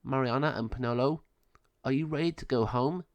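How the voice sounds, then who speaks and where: A man voicing a wise, kindly but firm godmother sage in a children's storybook, solemn and measured. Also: medium pitch, no echo, close to an everyday voice. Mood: kindly, calm.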